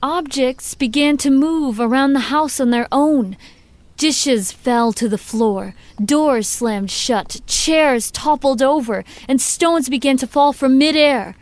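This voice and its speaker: An actress playing a confident, persuasive paranormal investigator in an audio drama, with polished, theatrical, assured delivery. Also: female voice, high voice, slow, no room sound. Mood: storytelling, intrigued.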